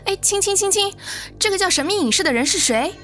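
A woman's voice: very high-pitched, lively intonation, fast talker, fairly bright tone, reverberant, in a large hall, soft background music, voice pushed up. A woman playing a wary young office worker who narrates her ordeal uneasily and earnestly in a suspense audio drama. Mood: surprised.

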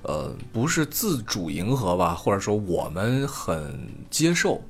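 Low-voiced man in casual podcast conversation. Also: big pitch swings, unhurried. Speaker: podcast co-host. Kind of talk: casual unscripted podcast chat.

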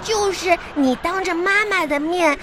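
A woman's voice: very high-pitched, a little slow, noisy background. A woman playing a cheeky, curious little schoolgirl in a comic radio drama, with a childlike, questioning manner. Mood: cheeky, inquisitive. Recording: music under the voice.

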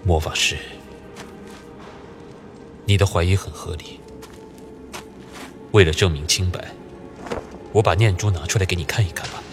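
A man acting a treacherous red-robed priest in a fantasy audio drama, with a theatrical, breathy delivery. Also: low-pitched, steady tone, unhurried, long pauses, faint music behind. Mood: falsely aggrieved.

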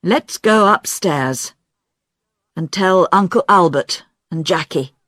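A woman voicing a grown son who is tense and guilt-ridden, speaking in a low, restrained way. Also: lively intonation, slow, a bit bright, no room sound, studio quality, full character voice. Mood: firm.

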